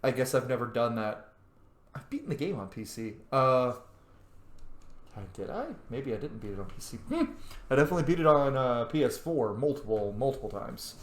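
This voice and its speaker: Casual male game streamer, opinionated and profane, lively intonation, echoey recording. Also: medium pitch, a little fast. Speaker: gaming streamer. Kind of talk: casual gameplay commentary. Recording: echoey room, faint background noise.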